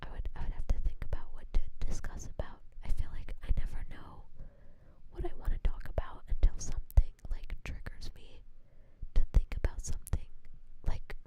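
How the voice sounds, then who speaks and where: A woman VTuber streamer whispering through a relaxed ASMR chat, rambling and joking about herself, on a standard non-binaural mic. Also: normal speed, low-quality recording. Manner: rambling, self-mocking, giggly.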